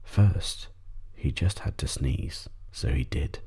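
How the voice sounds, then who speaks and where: Very deep, breathy man reading a bedtime story. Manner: soft, measured. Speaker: audiobook narrator.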